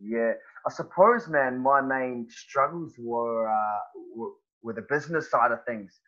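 Kiwi man in his thirties, podcast guest, halting laid-back talker full of "man" and "bro", muffled lo-fi recording. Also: mid-range voice, fairly bright tone. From New Zealand. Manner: halting, many fillers and restarts, says "man" and "bro". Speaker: guest, personal trainer. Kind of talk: casual podcast chat.

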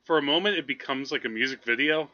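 A man co-hosting a movie podcast, talking casually in a halting, digressive, amused way. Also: mid-range voice, bright, forceful tone, no echo. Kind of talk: casual film review chat. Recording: slightly dull, lo-fi podcast audio.

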